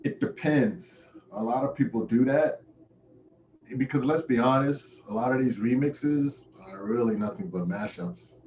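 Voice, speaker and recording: A man's voice: medium pitch, unhurried, darkish tone, distant mic. A man who is a DJ being interviewed, telling stories in an informal, casual way. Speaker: DJ, interview guest. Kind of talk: casual chat. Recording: roomy, dull, noisy call audio.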